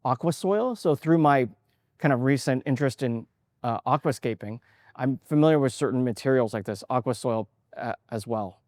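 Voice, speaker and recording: A man's voice: medium pitch, normal speed, a little mellow, dry recording, studio quality. A man in his forties who grew up in Canada, an artist talking about his work in an interview, thoughtful and hesitant, with many fillers and restarts. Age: 40s.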